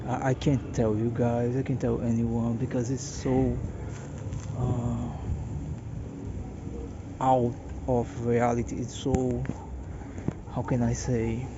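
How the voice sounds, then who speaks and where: A tired Brazilian man in his late thirties with a Portuguese accent, talking slowly and haltingly to camera in a personal monologue, often restarting his words. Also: mid-range voice. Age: 30s. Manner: hesitant, halting, repeats words. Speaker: vlogger. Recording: dull lo-fi audio with background noise.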